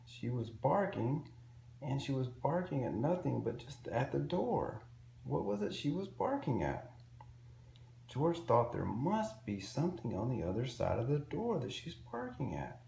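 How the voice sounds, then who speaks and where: A man reading a picture book aloud to young children in an expressive, simple storytelling way. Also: mid-range voice, unhurried, gravelly. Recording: roomy echo with faint background noise.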